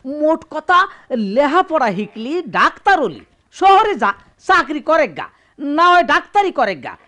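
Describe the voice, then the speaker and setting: A woman's voice: sits high, very animated, a little slow, a bit bright, heavily acted. A woman playing a servile village hanger-on in a radio drama, in a rural Bangladeshi dialect. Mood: sneering.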